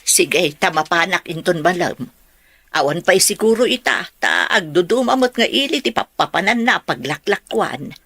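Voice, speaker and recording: A woman's voice: fairly low pitch, lively intonation, moderate pace, fairly bright tone, slightly rough, no room sound, full character voice. A woman acting an easygoing villager in an audio drama, with a Filipino Ilocano accent. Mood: casual.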